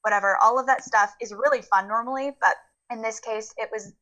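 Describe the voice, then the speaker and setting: Casual female interview guest, very bright voice, informal and emphatic delivery. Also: on the high side, steady tone, a little fast. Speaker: interview guest, voice actor and audio play producer.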